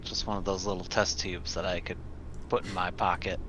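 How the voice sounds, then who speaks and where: A man playing a tabletop role-playing game, talking casually and haltingly as he states what his character does. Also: deep voice, flat delivery, noisy background.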